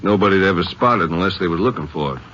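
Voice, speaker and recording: A sharp, mid-pitched male voice as a plain-spoken American lawman sidekick. He is wary and folksy in short remarks, in old radio western style. The recording is muffled with faint noise. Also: expressive, quick pace. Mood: alert. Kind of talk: 1950s radio western acting.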